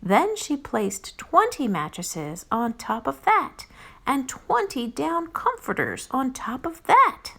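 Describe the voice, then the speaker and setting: A woman reads a picture book aloud to small children. Her pace is slow and her pitch very lively, with an expressive, playful storyteller's delivery. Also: gravelly. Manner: expressive, addresses listeners playfully.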